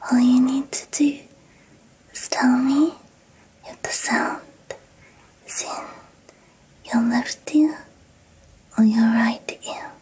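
A woman doing an ASMR roleplay as a hearing tester, giving gentle, reassuring instructions in a breathy whisper. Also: sits high, low-quality recording.

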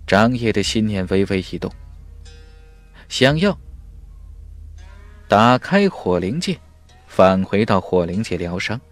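A man's voice: fairly low pitch, big pitch swings, slow pace, pauses often, no echo, soft background music. A man narrating a fantasy audiobook in a dramatic, scripted storytelling style.

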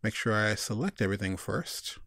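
A man's voice: fairly low pitch, animated, fairly quick, a bit bright, dry recording, studio quality. A man giving a casual screencast tutorial, informally talking through each step and thinking aloud. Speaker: tutorial instructor.

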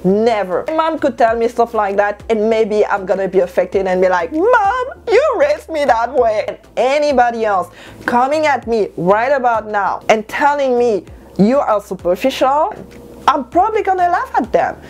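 A woman of about thirty whose first language is French, giving an animated motivational talk to camera in her bedroom and repeating lines for stress. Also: fairly high pitch, moderate pace. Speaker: lifestyle vlogger. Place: bedroom at home, indoors.

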